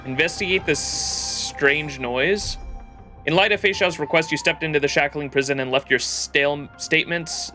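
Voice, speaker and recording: Bright-voiced, slangy male game streamer. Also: on the high side, moderate pace. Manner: slangy, profane, jokey. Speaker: game livestreamer. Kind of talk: casual gameplay commentary, reading dialogue aloud. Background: game music.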